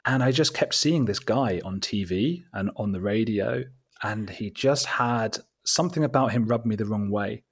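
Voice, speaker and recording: A man with a husky voice, a guest telling casual, rambling anecdotes in a conversational, informal way, often interrupting himself. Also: fairly low pitch, normal speed. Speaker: interview guest, documentary maker.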